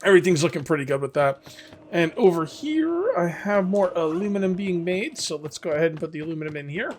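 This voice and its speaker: A man with a hoarse, fading voice, casually commenting on his survival game as he plays and rambling to his viewers. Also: a little high, expressive, brisk, slight background noise. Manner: rambling, self-correcting, talks to viewers. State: losing his voice. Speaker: gaming video host. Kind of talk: casual let's-play commentary.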